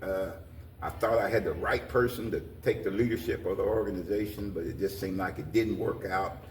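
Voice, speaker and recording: Low-voiced older man, echoey room. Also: brisk. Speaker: interview guest, community organization president. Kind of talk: unscripted interview answers. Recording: roomy echo, distant mic, faint noise.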